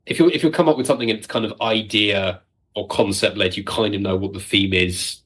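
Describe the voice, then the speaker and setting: Husky, low-pitched man chatting. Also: a little fast, a bit bright. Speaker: audio drama writer, podcast guest. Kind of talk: casual discussion.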